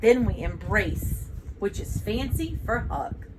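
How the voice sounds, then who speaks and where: A woman reading a children's storybook aloud in an expressive, deliberate, teacherly way. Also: mid-range voice, background noise.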